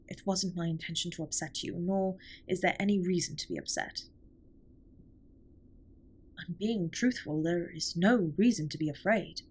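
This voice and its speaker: Husky, formal alien captain, acted by a woman. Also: on the low side, a little mellow. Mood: apologetic, reassuring. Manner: formal, stiffly precise, measured wording. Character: formal, earnest alien spaceship captain, gently concerned. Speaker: voice actor in an audio roleplay. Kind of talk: scripted intimate comfort audio roleplay.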